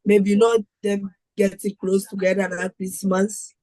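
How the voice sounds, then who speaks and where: A woman's voice: medium pitch, little pitch movement, measured pace, mellow, no room sound. A woman co-hosting a reaction show in casual chat, speaking in simple short phrases and repeating herself.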